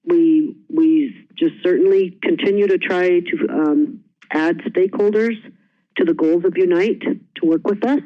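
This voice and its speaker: A woman's voice: fairly low pitch, a little slow. Earnest woman on a muffled call. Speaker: presenter for an elder-care group. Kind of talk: webinar presentation. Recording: muffled, dry conference audio.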